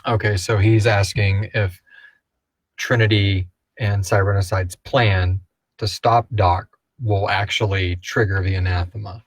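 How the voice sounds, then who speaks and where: A man's voice: on the low side, steady tone, measured pace, breathy edge, no echo. A man hosting a casual question-and-answer chat, rambling and thinking aloud as he speculates. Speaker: host of a live audio drama Q&A.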